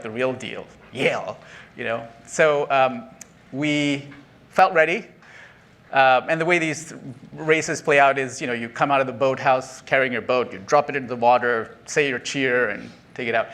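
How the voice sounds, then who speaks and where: A man's voice: on the high side, fairly bright tone. A middle-aged man from Sri Lanka speaks from a conference stage in a slightly raised voice. He talks in a loose, chatty way, full of ums, and laughs at his own jokes. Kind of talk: keynote talk to an audience.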